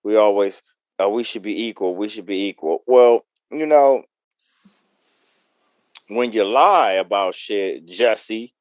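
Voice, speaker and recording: A man's voice: lowish voice, slow pace, pauses often, no room sound. A man with an American accent co-hosting a podcast, talking casually and bluntly and posing rhetorical questions. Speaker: podcast co-host. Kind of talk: casual opinionated panel talk.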